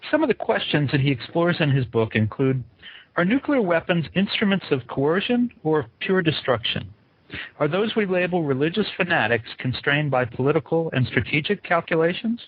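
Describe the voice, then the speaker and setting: Husky male interview host. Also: on the high side, big pitch swings, moderate pace, dry recording, phone-quality sound. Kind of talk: interview, scripted introduction and questions.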